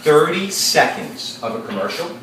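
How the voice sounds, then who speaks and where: A male teacher leading an interactive read-aloud, questioning his class in a lively, engaging way. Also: a little high, normal speed. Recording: echoey classroom, distant mic, noisy.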